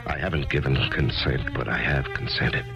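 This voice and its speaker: A man's voice: very deep voice, lively intonation, moderate pace, vocal fry, full character voice. An actor playing a grieving, failed author who narrates his own story in a 1970s radio drama, hesitant and introspective. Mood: brooding. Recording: dull lo-fi 1970s radio broadcast with music.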